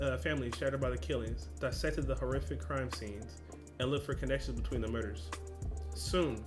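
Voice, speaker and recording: Man casually reading aloud, stumbling. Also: mid-range voice. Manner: stumbling reading, self-correcting; casual slang asides. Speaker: reaction video host.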